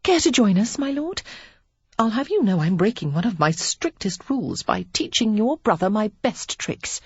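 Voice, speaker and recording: A woman's voice: mid-range voice, big pitch swings, normal speed, slightly rough, no echo, lo-fi sound, pitched above the performer's own voice. A woman reading the part of a witty, confident young woman who teaches card tricks, in a teasing, playful way.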